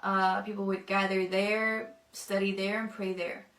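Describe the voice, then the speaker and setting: A young woman, not a native English speaker, telling her personal testimony thoughtfully, with many pauses and fillers. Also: mid-range voice, flat delivery, fairly bright tone, echoey room, far from the mic. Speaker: convert telling her story.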